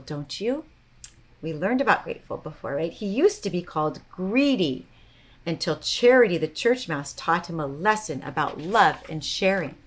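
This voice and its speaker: A woman hosting a children's storytime, talking and reading to young listeners in a gentle, teacherly way. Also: medium pitch.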